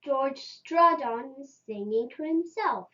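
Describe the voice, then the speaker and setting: Very slow, high-voiced woman storyteller. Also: expressive, sharp tone, muffled recording. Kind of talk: read-aloud children's story narration.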